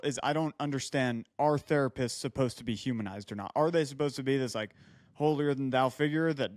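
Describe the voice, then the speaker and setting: A man's voice: on the high side, no echo. A man co-hosting a casual podcast, riffing playfully in conversation. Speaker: podcast co-host.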